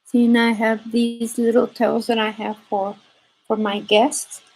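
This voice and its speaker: A native Spanish-speaking woman with a Spanish accent, hosting a casual craft livestream in a halting, rambling way and often repeating phrases. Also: fairly high pitch, little pitch movement, a little mellow, low-quality recording.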